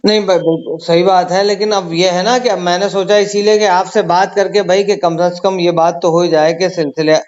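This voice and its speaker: A man's voice: sits high, little pitch movement, normal speed, hardly any pauses, a little mellow. A man speaking as a caller in a conciliatory, deferential and agreeable way on the phone. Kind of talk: phone conversation. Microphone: phone call. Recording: dull lo-fi phone call recording.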